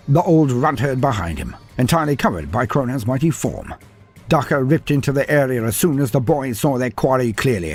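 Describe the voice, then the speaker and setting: A man delivers dramatic fantasy narration with a highly animated, swooping delivery. His voice is slightly husky, and his storytelling is deliberate and theatrical, with faint music underneath.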